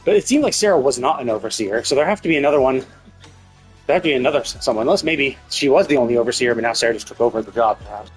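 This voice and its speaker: A man's voice: mid-range voice, lively intonation, rapid-fire, husky, low-quality recording. A man streaming casual game commentary, thinking aloud and rephrasing himself as he speculates about a story. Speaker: live streamer. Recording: music playing behind the voice. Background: game music.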